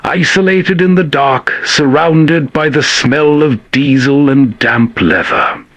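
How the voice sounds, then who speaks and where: A man's voice: a little high, slow, a bit bright, dry recording. Dry, close-miked male storytelling narrator. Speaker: radio show narrator and host. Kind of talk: scripted atmospheric narration.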